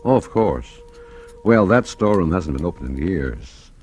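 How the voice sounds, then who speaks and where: Very deep-voiced husband, radio drama. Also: male voice, expressive, moderate pace, darkish tone. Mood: concerned. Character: concerned husband in a troubled household. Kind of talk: 1940s radio drama acting. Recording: old radio drama with faint music.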